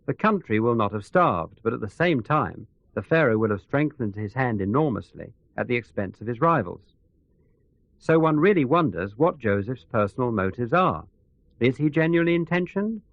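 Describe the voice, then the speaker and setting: Male actor for economics expert, radio news drama. Analytical, doubting, mid-pitched with expressive swings, dry and a little muffled. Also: normal speed, no echo, natural voice. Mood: sceptical, analytical. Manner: considered, explanatory. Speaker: expert commentator.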